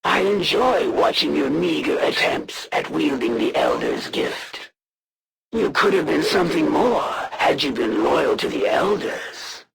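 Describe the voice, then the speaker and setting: A woman voicing a proud, honor-bound alien female assassin for a video game, speaking in a formal, stately way with a gravelly, creaky edge. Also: low voice, lively intonation, background noise, full character voice. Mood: disdainful. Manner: formal, archaic, stately and deliberate. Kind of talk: video game villain voice lines.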